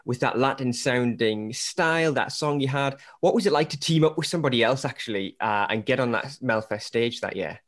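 A man's voice: medium pitch, brisk, few pauses, fairly bright tone. A man with a Newcastle accent hosting a podcast, chatty and full of enthusiastic praise. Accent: Newcastle English. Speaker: podcast host and interviewer. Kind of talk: casual podcast chat.

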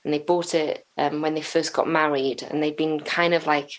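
Guest: woman, lowish voice, easygoing, rambling chat full of fillers. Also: little pitch movement, moderate pace, poor audio. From North Wales. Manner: chatty and rambling, with many fillers, restarts and laughs. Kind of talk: casual interview chat.

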